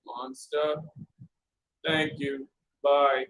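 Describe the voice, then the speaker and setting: A man voicing a sleepy, bewildered old fisherman who mumbles low. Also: level tone, extremely slow, fairly bright tone, poor audio.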